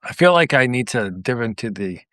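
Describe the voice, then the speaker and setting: Man, podcast co-host, casual chat, medium pitch, dry sound. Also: lively intonation, brisk, pushed and bright, no room sound.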